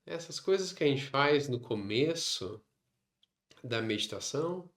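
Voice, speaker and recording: A man with a Brazilian Portuguese accent leading a guided meditation, instructing in short phrases separated by long pauses. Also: medium pitch, animated, fairly bright tone. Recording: roomy, echoing sound.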